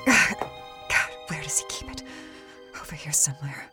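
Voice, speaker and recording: A woman's voice: low-pitched, expressive, moderate pace, over loud music. An actress playing an imperious, obsessive cosmetics queen craving youth, demanding and hissing, in a campy audio drama. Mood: desperate, searching. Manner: demanding, breathy hissing emphasis.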